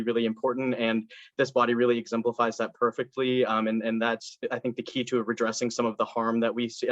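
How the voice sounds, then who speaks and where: A man's voice: medium pitch, a bit bright, no echo. A young man from Indiana speaking earnestly as a candidate in a student election, with many fillers and restarts. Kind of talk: campaign speech and answers to questions. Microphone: video call. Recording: dull lo-fi video call audio, dry.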